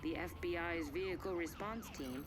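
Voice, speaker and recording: A woman acting as a formal newsreader in a video game cutscene. Her voice is fairly low as she delivers the scripted report over loud music. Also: normal speed, natural voice. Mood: grave, formal. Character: formal female television news reporter. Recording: loud music and vehicle noise behind.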